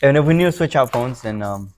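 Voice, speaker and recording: A man's voice: mid-range voice, lively intonation, fast, a little mellow. A young man co-hosting a casual chat, giving brief, laid-back replies. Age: young adult. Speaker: podcast co-host.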